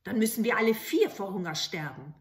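A stern, heartless stepmother from a fairy tale, acted out by a woman storyteller. She scolds and commands, in a medium-pitched voice. The recording has clear room echo. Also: expressive, fairly quick, close to an everyday voice. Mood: scolding. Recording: roomy home recording.

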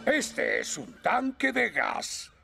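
An actor with a Latin American Spanish accent voicing a stern older fire chief who explains safety deliberately. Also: male voice, high-pitched, wildly swooping pitch, vocal fry, loud music behind the voice, heavily acted. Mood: instructive. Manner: instructive, deliberate.

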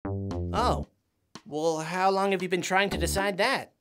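A woman's voice: lowish voice, unhurried, faint music behind, close to an everyday voice. A woman voicing a small fuzzy puppet friend in a kids' show, patient, sensible and encouraging as she explains things. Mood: curious. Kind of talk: children's puppet show dialogue.

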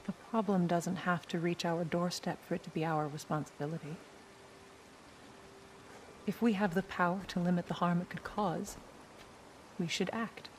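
An actress playing a gentle yet resolute young warrior wife in a video game, speaking in an earnest, measured way. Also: female voice, on the low side, steady tone, a little hiss or hum, close to an everyday voice. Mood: earnest, reproachful. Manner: earnest, measured, quietly firm. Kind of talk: cinematic video game acting. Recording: music behind the voice. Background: music.

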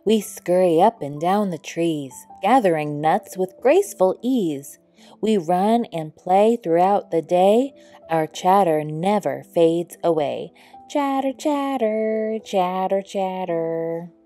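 A woman's voice: fairly low pitch, expressive, slow, warm tone. A woman voicing chattering little squirrels in a children's storybook, in rhythmic rhyme. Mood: upbeat. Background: background music.

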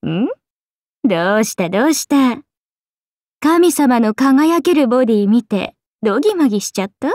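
A woman's voice: a little high, lively intonation, normal speed, darkish tone, no room sound, studio quality, close to an everyday voice. A woman voicing a playful, teasing, carefree oni goddess in an anime game, with a casual, airy drawl. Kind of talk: Japanese anime game voice acting.

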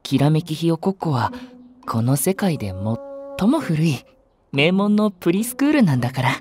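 Boyish, animated young nursery teacher. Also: male voice, fairly high pitch, moderate pace, faint music behind. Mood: earnest. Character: gentle, eccentric, masochistic young male nursery teacher. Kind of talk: anime voice drama acting.

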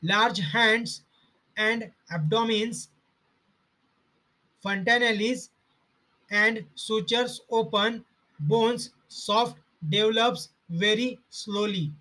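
A man with a high, bright voice reads through medical study notes. He goes slowly and halting, breaking each list into short fragments with pauses between them. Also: rough recording. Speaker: homeopathy study narrator. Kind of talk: slow reading of study notes.

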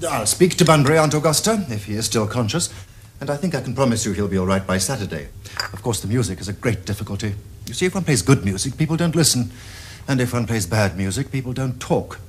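A young man with an upper-class English accent playing a glib, languid Victorian dandy in a period comedy, smooth-talking and mock-solemn. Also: medium pitch, animated, fast talker, natural voice. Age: young adult. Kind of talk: period stage comedy acting. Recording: noisy old television soundtrack.

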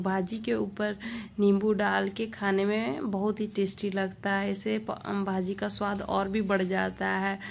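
A woman with a slightly creaky voice, presenting a recipe in voice-over as a home cook. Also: mid-range voice.

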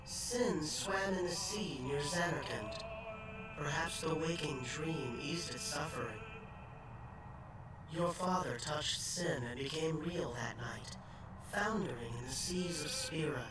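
A woman voicing a sorrowful spirit in a game cutscene, speaking slowly and solemnly. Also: low voice. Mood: mournful. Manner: slow, mournful, solemn. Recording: roomy echo, far from mic, loud music.

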